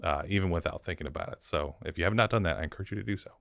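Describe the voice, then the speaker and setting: Male podcast co-host. Very low register, rapid casual delivery, dry room. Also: vocal fry, fairly bright tone, no echo. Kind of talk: casual chat.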